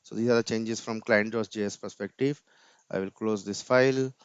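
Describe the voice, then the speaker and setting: A man's voice: fairly low pitch, fairly even intonation, normal speed, clear, resonant tone, no echo. A man presenting an informal screen-share coding tutorial step by step, often tagging his sentences with "right?", on a dull computer mic.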